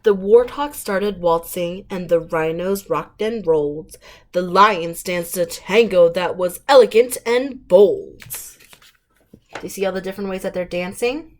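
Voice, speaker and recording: A woman reading picture books aloud to young children, animated and encouraging, with chatty asides to the listeners. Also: on the low side, measured pace. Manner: animated, encouraging, talks directly to little listeners. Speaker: storytime reader for young children.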